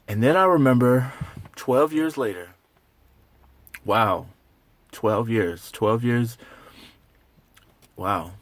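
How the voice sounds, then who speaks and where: A man performing a dramatic monologue as a grieving, bitter grown son of an executed father, with halting, emphatic repetition. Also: mid-range voice, no room sound. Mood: bitter, anguished.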